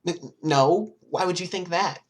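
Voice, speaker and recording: A man voicing an earnest, awkward but determined teenage boy in a read-aloud story, halting when embarrassed. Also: sits high, pitched above the performer's own voice. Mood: flustered.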